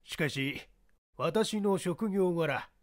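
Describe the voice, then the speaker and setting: A man playing a shrewd, self-assured baseball scout in a mystery story reading, explaining himself in a measured, worldly way. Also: high-pitched, lively intonation, exaggerated voice. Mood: guarded, pondering. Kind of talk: radio-drama style mystery reading.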